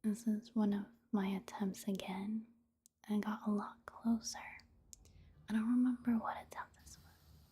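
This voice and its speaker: A woman giving soft-spoken ASMR game commentary in a hushed, breathy whisper, in a gentle, casual, unhurried manner. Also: medium pitch, fairly even intonation. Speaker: gaming ASMR video host.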